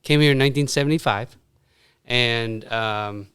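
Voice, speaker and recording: Vietnam-born guy in his 50s, earnest podcast guest, bright voice, super clean and smooth. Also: medium pitch, normal speed. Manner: earnest, warm, leans on "you know". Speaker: podcast guest, dentist and restaurant owner. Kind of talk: casual podcast chat.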